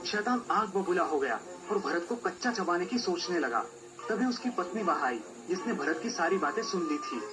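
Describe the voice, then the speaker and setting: A man's voice: high voice, animated, moderate pace, low-quality recording. A man narrating a scripted children's folk tale as a storyteller. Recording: music behind the voice.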